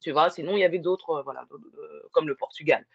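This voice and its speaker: A woman's voice: deep voice, fast talker, gravelly, husky. A woman from France in casual, reflective conversation, hesitating and circling back to explain herself. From born and grew up in France. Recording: slightly dull lo-fi sound.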